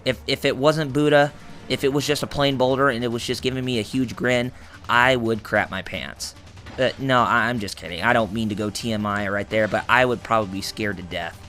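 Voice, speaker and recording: Male let's-play host, hoarse and raspy, chatty and rambling; bright tone. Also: mid-range voice, brisk, faint music behind. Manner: rambling, full of fillers and restarts, jokey. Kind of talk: gameplay commentary.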